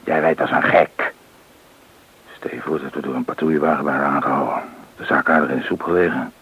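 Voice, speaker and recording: Kalme bendeleider met heel lage stem. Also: male voice, very deep voice, fairly even intonation, brisk, fairly bright tone, breathy edge, exaggerated voice. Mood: stern, businesslike. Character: calm, calculating male leader of a train-robbery gang. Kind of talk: Dutch radio play acting. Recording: dull, noisy old radio play recording.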